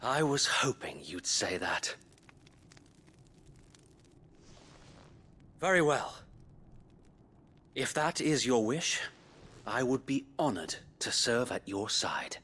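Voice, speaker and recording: An actor playing a loyal, chivalrous knight in a video game, speaking in a measured, courteous, soldierly way. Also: male voice, medium pitch, big pitch swings, bright, forceful tone, breathy edge, heavily acted. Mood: glad, deferential. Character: loyal, chivalrous knight leading resistance fighters. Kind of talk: dramatic video game acting.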